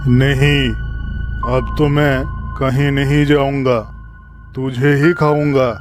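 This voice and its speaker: Man playing a hungry cartoon lion, menacing, gullible, heavy and threatening, flat pitch, music underneath. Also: slow pace, lightly acted. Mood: angry, threatening. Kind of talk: cartoon moral story dialogue.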